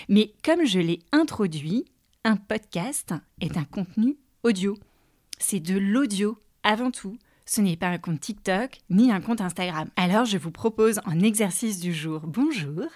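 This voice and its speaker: A woman's voice: lowish voice, a little mellow, dry recording, studio quality. A woman hosting a podcast lesson, explaining in a playful, teasing way and addressing listeners directly. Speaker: podcast host and coach.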